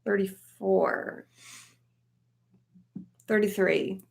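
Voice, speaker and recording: A woman's voice: medium pitch, steady tone, extremely slow, gravelly, darkish tone. A woman in her thirties co-hosting a casual live question-and-answer chat, speaking in a blunt, informal way. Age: 30s. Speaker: co-host of a trade channel.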